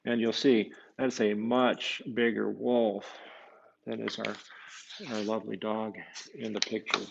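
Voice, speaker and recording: A man reading a children's picture book aloud as a priest, with musing, halting asides to his young listeners. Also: fairly low pitch, flat delivery, vocal fry, muffled recording, slight background noise. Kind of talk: storybook read-aloud with casual asides to children.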